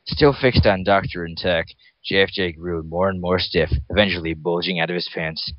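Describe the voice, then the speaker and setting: A man with a low voice reads a story as a dramatic narrator. The recording is dry and close, with a dull, lo-fi sound. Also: fairly even intonation, normal speed, dry recording. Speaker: reader. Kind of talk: dramatic reading of a fan story.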